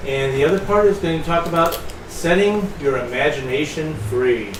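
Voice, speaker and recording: A man's voice: fairly high pitch, moderate pace, far from the mic. A man hosting a casual panel podcast, talking loosely and stumbling over names. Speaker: podcast host. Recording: roomy with faint hiss.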